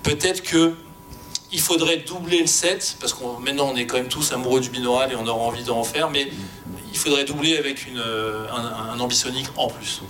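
A man speaking informally and spontaneously as a panelist, explaining technical work with halting, repeated words. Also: a bit bright. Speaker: sound fiction creator on an expert panel. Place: panel discussion before an audience. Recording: faint rumbling background noise.

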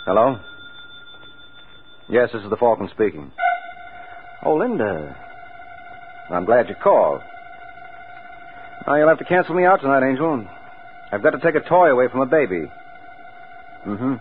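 Man as a lively, suave radio detective. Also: medium pitch, moderate pace, long pauses, faint music behind, lightly acted. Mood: suave, wry. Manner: wisecracking, smooth-talking. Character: suave, wry male detective adventurer on the telephone. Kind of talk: 1940s radio drama acting. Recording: tinny vintage radio recording.

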